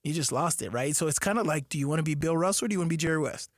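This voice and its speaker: A man co-hosting a sports talk radio show, talking with excitement and thinking aloud. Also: a little high, rapid-fire, no echo, studio quality. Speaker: fill-in co-host.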